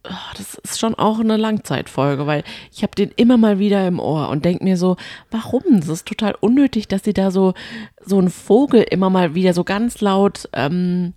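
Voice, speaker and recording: A woman's voice: medium pitch, normal speed, warm tone, no echo, clean studio sound. A woman co-hosting a podcast, chatting casually in a teasing, playful way. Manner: teasing and playful, laughs often. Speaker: podcast co-host. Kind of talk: casual chat.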